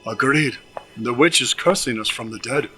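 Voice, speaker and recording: A man's voice: medium pitch, normal speed, bright, forceful tone, faint music behind, natural voice, rough recording. A man playing a practical, dismissive pallbearer in an audio drama, talking in a matter-of-fact way.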